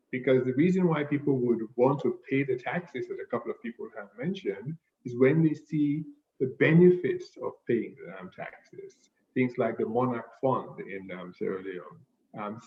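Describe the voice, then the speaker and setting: A man, the report's author, giving a measured webinar answer with frequent filled pauses over a video call. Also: medium pitch, gravelly. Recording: muffled echoey video call audio.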